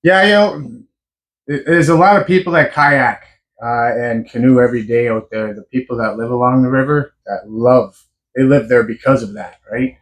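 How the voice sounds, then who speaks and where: Loud easygoing radio guest. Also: male voice, mid-range voice, normal speed, rough recording. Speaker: studio guest. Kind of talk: casual radio interview. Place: small radio studio.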